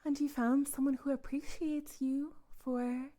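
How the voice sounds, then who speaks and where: Casting: woman to play a female cult leader captor, sweetly menacing and self-righteous, higher voice, very unhurried, theatrical cooing for intimate audio drama. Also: flat delivery, warm tone. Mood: smug, coaxing. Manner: theatrical, cooing, condescending, drifting into breathy whispers. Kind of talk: intimate audio roleplay monologue addressed to the listener.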